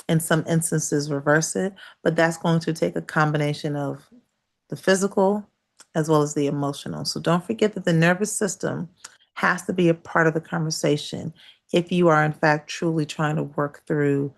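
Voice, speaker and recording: A woman's voice: low voice, gravelly. An American woman giving a casual, educational livestream talk, speaking directly to listeners in a conversational way with rhetorical questions. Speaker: acupuncture practitioner hosting a live wellness talk.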